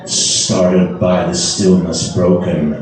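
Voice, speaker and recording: A man giving a theatrical, drawn-out dramatic reading of gothic verse, with emphatic pauses. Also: sits low, level tone, darkish tone, a little hiss or hum. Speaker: amateur poetry reader. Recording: echoey room, distant from the mic.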